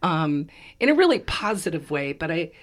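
A woman with a very low, froggy voice, hoarse and raspy from laryngitis. She co-hosts a podcast and talks in a loose, rambling way, restarting her sentences as she thinks aloud. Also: sing-song, moderate pace. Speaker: podcast co-host. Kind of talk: casual conversation.

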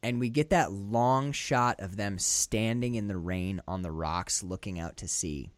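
A man co-hosting a casual film podcast. He talks excitably, swears freely, often laughs mid-sentence, and his voice jumps up high when he gets worked up. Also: a little slow, dry recording. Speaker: podcast co-host. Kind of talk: casual film-discussion chat.

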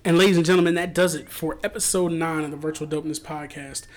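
A man's voice: fairly high pitch, fairly even intonation, fast talker. A man hosting a podcast, talking casually and informally in colloquial slang. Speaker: podcast host.

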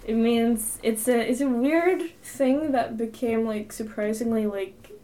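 A woman's voice: fairly high pitch, unhurried, mellow. A young Norwegian woman co-hosting a casual podcast chat, talkative, often laughing, with lots of little fillers. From Norway. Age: young adult. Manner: chatty, laughs easily, frequent fillers. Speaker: podcast co-host.

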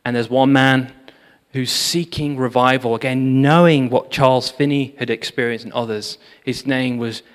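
A man's voice: medium pitch, moderate pace, hardly any pauses. Man preaching in a reverberant hall. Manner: storytelling, animated, with fillers. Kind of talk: sermon on revival history.

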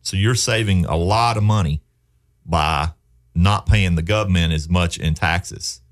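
A man's voice: deep voice, unhurried. A man hosting a real estate radio show in a studio, talking in a folksy, persuasive way and asking leading questions. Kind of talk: casual radio talk.

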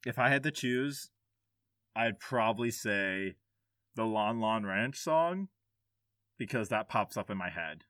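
A man's voice: on the low side, animated, slow, pauses often, bright, forceful tone, dry recording, studio quality. A man hosting a podcast in casual, jokey conversation, swearing freely. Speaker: podcast host. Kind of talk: casual chat.

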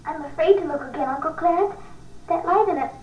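High-voiced timid little girl, played by a woman. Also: quick pace, recorded from a distance, dull audio, lightly acted. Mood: uneasy, drowsy. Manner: innocent, wide-eyed, hesitant. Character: timid, curious little schoolgirl. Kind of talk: 1940s radio drama acting. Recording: echoey, noisy old 1940s radio recording.

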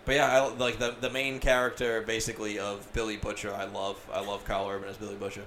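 A man's voice: on the low side, level tone, quick pace, fairly bright tone, slight background noise. A man hosting a podcast in casual chat, rambling, swearing freely, with many fillers. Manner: profane, rambling, filler-heavy. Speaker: podcast host.

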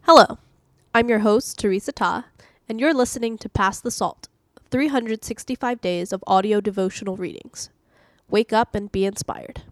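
A woman's voice: medium pitch, moderate pace, no room sound, faint music behind. A woman hosting and narrating a scripted devotional reading. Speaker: host reading daily devotionals.

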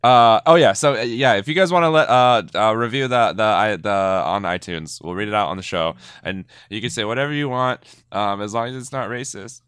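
A man's voice: on the low side, brisk, hardly any pauses, fairly bright tone, smooth, no room sound, clean studio sound. A man co-hosting a movie podcast, chatting casually and cracking jokes. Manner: joking, playful. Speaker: podcast co-host. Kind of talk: casual chat.

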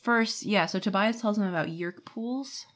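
A woman's voice: mid-range voice, moderate pace. A woman co-hosting a podcast, recapping a book in casual chat and slipping into paraphrased quotes from its characters. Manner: acts out characters' lines in paraphrase. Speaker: podcast co-host.

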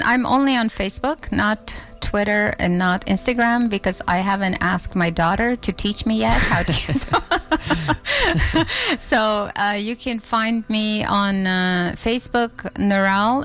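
A woman's voice: medium pitch, a bit bright. A woman from Turkey, a guest at a podcast interview, earnest and searching for words. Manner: earnest, searching for words, self-taught English. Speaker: film festival organizer. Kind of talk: casual interview.

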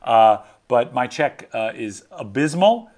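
A male podcast host with a lively, bouncing voice and a teasing, self-mocking way of talking. he chats loosely and jokes as he works through listener letters. his pitch sits in the middle and swings a lot from line to line. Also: takes it slowly. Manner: playful, jokey, self-mocking. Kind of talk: casual chat, reading listener mail.

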